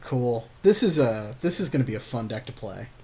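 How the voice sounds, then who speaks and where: Man, average pitch, casual card-game chat, slangy, conversational, podcast co-host. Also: expressive, normal speed, a little mellow, slightly rough.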